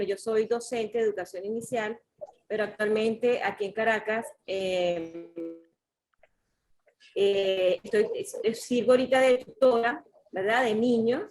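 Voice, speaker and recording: A woman's voice: medium pitch, a little mellow, low-quality recording. A woman from Venezuela, a teacher and graduate student, talking in class with many fillers like "este". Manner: slow, uses fillers like "este". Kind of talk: casual class participation.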